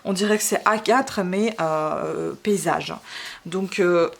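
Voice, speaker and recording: A woman's voice: fairly low pitch, slow. A woman speaking French as a second language, casually presenting her book collection with frequent hesitations and enthusiasm. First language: not French. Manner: chatty, hesitant with frequent ums, enthusiastic about books. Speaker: book vlogger.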